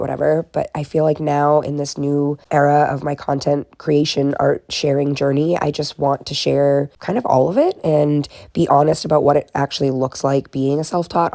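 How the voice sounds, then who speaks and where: Woman with a head cold, nasal and raspy, low gravelly tone, dry close voiceover, candid and reflective. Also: warm tone, no room sound. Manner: candid, reflective, self-deprecating. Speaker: art therapist and art vlogger. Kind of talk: casual voiceover narration.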